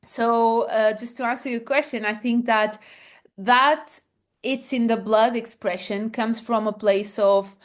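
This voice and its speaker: A woman from Portugal with a Portuguese accent, answering interview questions as a founder with many pauses, fillers and restarts. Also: on the high side, steady tone. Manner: hesitant, many fillers and restarts, laughs softly. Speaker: founder guest.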